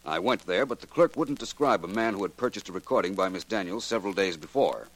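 An American actor playing a shrewd, confident male detective in a 1940s radio drama, speaking in a formal, deliberate, authoritative way. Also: deep voice, expressive. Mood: explaining, assured.

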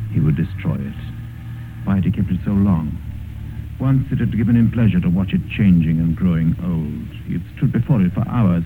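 A man giving grave, measured, scripted dramatic narration as storyteller of an old-time radio drama. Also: low-pitched, narrow, tinny audio. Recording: scratchy old radio recording, thin band, hiss.

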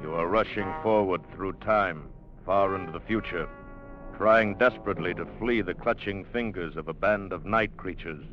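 An actor playing an ominous narrator in a dramatic 1950s radio teaser. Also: male voice, deep voice, normal speed, close to an everyday voice. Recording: muffled vintage radio recording. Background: dramatic music.

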